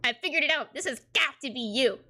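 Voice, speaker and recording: A woman's voice: high voice, fast talker, bright, forceful tone. A woman voicing a mocking teenage girl troll who cackles and teases, reading a webcomic aloud. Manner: gleeful, teasing, cackling.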